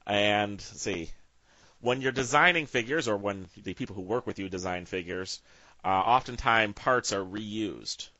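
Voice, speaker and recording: A man's voice: lowish voice, a little slow, bright, forceful tone, no echo. A man asking casual questions as an interviewer, on a slightly dull, lo-fi recording.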